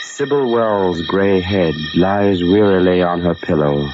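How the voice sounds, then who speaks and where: An actor playing a solemn, ominous host, narrating portentously in old radio horror style. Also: male voice, low-pitched, slow, noisy background. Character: ominous, solemn male host of a mystery anthology. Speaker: announcer and narrator. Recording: muffled old radio recording. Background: music.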